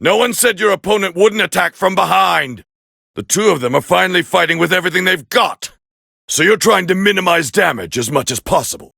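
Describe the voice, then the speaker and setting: A man voicing a proud, scornful alien warrior as a fighting game commentator, gravelly and haughty in an English anime dub style. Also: high-pitched, expressive, brisk, no echo, clean studio sound, full character voice. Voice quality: gravelly, raspy. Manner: haughty, forceful, clipped exclamations.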